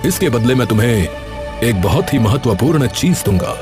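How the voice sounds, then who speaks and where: Man voicing old holy man, solemn, mysterious, measured formal delivery, cartoon story, background music. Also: medium pitch, sing-song, natural voice. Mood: solemn, assuring. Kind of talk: Hindi cartoon story character dialogue. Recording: music behind the voice.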